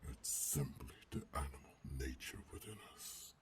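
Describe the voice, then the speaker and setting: A man voicing a menacing, coldly controlled alpha werewolf in an ASMR roleplay, gruff and growling, speaking formally and deliberately in a half-whisper. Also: rumbling low, animated, a loud crowd. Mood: hungry, menacing. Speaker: voice actor.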